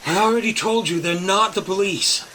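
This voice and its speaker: A man's voice: sits high, normal speed, bright, forceful tone. Male, playing a cheeky wanted man for radio play: insistent, wisecracking, slightly noisy recording. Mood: hushed, insistent. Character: plucky, wisecracking wanted hero on the run. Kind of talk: audio drama acting. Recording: noisy home-device recording.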